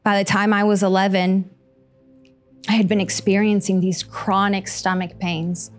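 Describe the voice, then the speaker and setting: A woman's voice: mid-range voice, little pitch movement. Woman in her 30s, heartfelt stage monologue, handheld mic, faint music underneath. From Costa Rica. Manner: slow, earnest, heartfelt delivery with pauses. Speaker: storyteller on stage. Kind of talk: personal story monologue. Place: small stage in front of seated audience.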